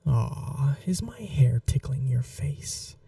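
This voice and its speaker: Woman to play seductive vampire noblewoman for ASMR roleplay: very dark, mellow, creaky voice, soft whispering, possessive and teasing. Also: extremely low pitch, sing-song, far from the mic, a little hiss or hum, full character voice. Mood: tender, soothing. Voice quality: soft, breathy, often whispered. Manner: slow, languid, teasing and coaxing.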